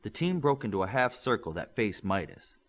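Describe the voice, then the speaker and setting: A man reading an audiobook aloud, with steady, expressive storytelling. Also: on the low side, brisk. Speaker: audiobook reader. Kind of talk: audiobook narration.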